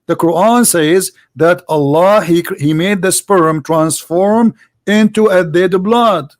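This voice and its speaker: Man, insistent debater, higher voice, dry close sound. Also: slow, no room sound. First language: Arabic. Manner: insistent, interrupting, repeats words. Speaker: debate host. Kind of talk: heated online debate.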